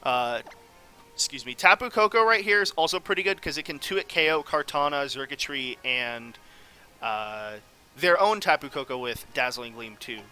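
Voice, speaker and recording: A man's voice: mid-range voice, expressive, normal speed, bright, forceful tone, clean tone, soft background music. A man giving casual, off-the-cuff commentary on a game as host, rambling and analytical as he thinks aloud. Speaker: gaming video host.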